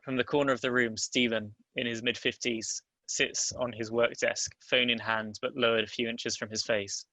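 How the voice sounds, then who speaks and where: A man's voice: medium pitch, little pitch movement, moderate pace, sharp tone, dry recording. A man reading stage directions as scripted narration at a table read.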